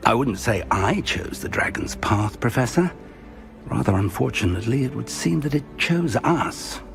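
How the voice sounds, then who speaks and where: Older british wizard teacher in a game, gravelly, polite n wry, lots of lilt. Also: male voice, medium pitch, expressive, moderate pace, faint music behind. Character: courteous older male wizard professor, dryly modest. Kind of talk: video game character dialogue.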